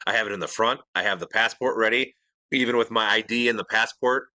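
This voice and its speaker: A man's voice: on the low side, fairly quick, sharp tone, dry recording. A middle-aged man co-hosting a casual podcast chat, earnest and detail-minded, often restarting his sentences. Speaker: podcast co-host.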